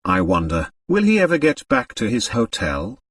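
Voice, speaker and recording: A man's voice: on the low side, huge pitch swings, normal speed, fairly bright tone, dry recording, studio quality, far from the mic, full character voice. A man voicing a nervous Russian accomplice in an audiobook, uneasy and questioning. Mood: uneasy muttering.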